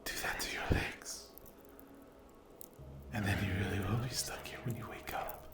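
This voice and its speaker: A man's voice: fairly low pitch, fairly even intonation, moderate pace, close to an everyday voice. A man voicing a cruel, possessive sleep paralysis demon in an ASMR roleplay, speaking in a menacing, condescending, whispery drawl. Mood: taunting, sinister.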